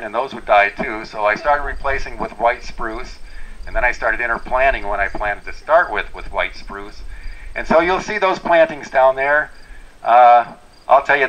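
A middle-aged man from Wisconsin, a tree farm owner, giving an unhurried, plainspoken welcome talk to a tour group outdoors, with many fillers and restarts. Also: lowish voice, fairly bright tone, poor audio. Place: outdoors in a forest clearing. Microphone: handheld microphone.

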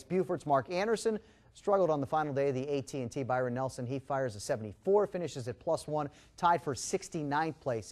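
High-pitched male sports anchor. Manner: punchy, emphatic announcer delivery. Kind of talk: scripted sports highlights narration. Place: TV news studio.

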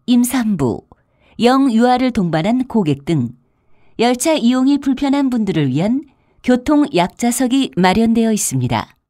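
A woman's voice: a little high, darkish tone, no room sound, clean studio sound. A woman reading scripted transit announcements in a formal, polite manner with careful enunciation. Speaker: subway announcer.

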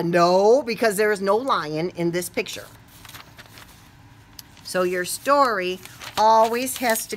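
A woman's voice: medium pitch, a little hiss or hum. A middle-aged American woman teaching kindergarten, talking playfully to young children as she leads a read-aloud. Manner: animated, playful, exaggerated questions and reactions as to small children. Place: small classroom, indoors.

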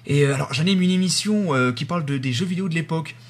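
A man's voice: on the high side, quick pace. A man playing out a comic phone conversation in a casual, hesitant, rambling way. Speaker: radio show host. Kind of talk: comedy sketch phone conversation.

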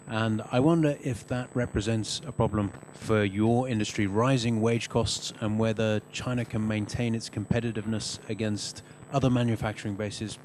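A man moderating a conference panel, posing questions in a measured way with pauses mid-phrase. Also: on the low side, fairly even intonation, darkish tone. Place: conference stage, indoors. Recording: faintly noisy conference hall microphone.

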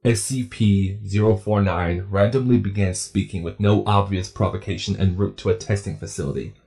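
A man's voice: lowish voice, fairly even intonation, normal speed, creaky, heavy background noise. A man narrating scripted clinical case files in a formal, clinical manner.

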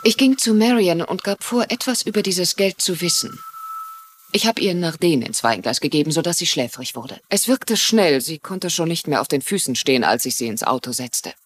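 A woman's voice: deep voice, lively intonation, normal speed, a little hiss or hum. An actress playing a resigned, calculating female culprit in a German-dubbed TV mystery, speaking in a matter-of-fact, bitter way. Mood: matter-of-fact confession.

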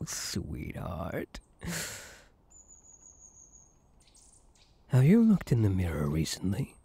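A man playing a possessive yet tender elf hunter in an intimate ASMR roleplay, speaking in a coaxing, soothing and intense way with a husky voice. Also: lowish voice, dark tone, dry recording, full character voice. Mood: adoring, coaxing. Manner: coaxing, soothing, intense, often dropping to a whisper.

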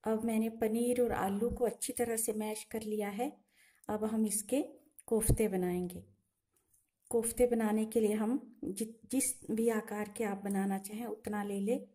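A woman from Himachal Pradesh guides a home cooking lesson at an unhurried pace. Her voice is soft and mellow, and she explains each step patiently, one at a time. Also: medium pitch, steady tone. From Himachal Pradesh, India. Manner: slow, patient, instructive. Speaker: home cooking channel host. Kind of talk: step-by-step cooking demonstration voice-over. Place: home kitchen.

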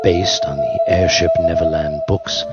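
A man's voice: low voice, steady tone, measured pace. A man working as an announcer, delivering a dramatic production announcement. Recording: muffled, loud music behind. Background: loud music.

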